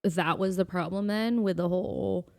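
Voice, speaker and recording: A woman co-hosting a podcast in casual conversation, asking questions and gently challenging the points made. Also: medium pitch, fairly even intonation, normal speed. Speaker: podcast co-host.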